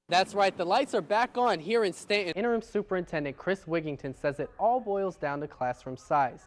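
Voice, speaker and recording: Higher-pitched male TV news reporter, noisy backdrop. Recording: outdoor location sound with traffic noise.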